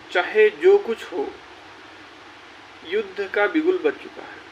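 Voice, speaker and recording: A man's voice: on the high side, a bit bright. A young man hosting his own channel, urging job candidates to join the fight and repeating phrases for emphasis. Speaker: YouTube channel host. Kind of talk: rallying address to job candidates. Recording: dull lo-fi sound with faint hiss.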